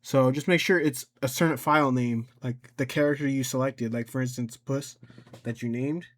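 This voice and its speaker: A man talking casually and unscripted through a screen-recorded tutorial, halting often, repeating his instructions and correcting himself. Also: medium pitch, steady tone. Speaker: tutorial creator.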